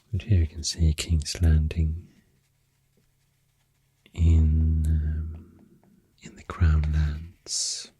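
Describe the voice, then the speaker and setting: A man with a Swedish accent doing soft-spoken ASMR, half-whispering in a hesitant, unhurried ramble close to the microphone. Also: a bass voice, slight background noise. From Sweden. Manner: hesitant, unhurried, halting rambling in short phrases. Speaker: ASMR creator and host. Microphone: close, dry microphone.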